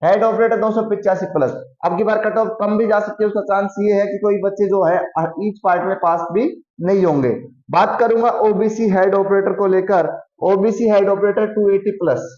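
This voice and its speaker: A man's voice: sits high, fairly even intonation, normal speed, darkish tone. A man explaining things to students as a coaching teacher, repeating key figures for emphasis. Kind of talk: explanatory lesson addressed to students. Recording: muffled, echoey room recording.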